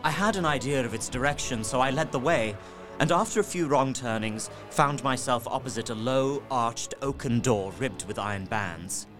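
An actor playing an earnest young gentleman who narrates his horror journal in an audio drama, in a measured, literary and haunted manner. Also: medium pitch, over music, close to an everyday voice. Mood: haunted, uneasy.

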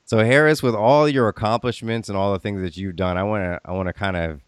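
A man's voice: on the low side, steady tone, a little fast, smooth, no room sound. A man hosting a podcast interview in an informal, welcoming manner. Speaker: podcast host.

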